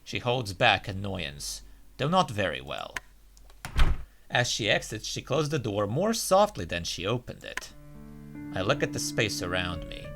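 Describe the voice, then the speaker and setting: Male let's play host, low and expressive, casual delivery, music underneath. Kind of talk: casual gaming commentary and reading aloud. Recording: music behind the voice. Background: game's guitar music.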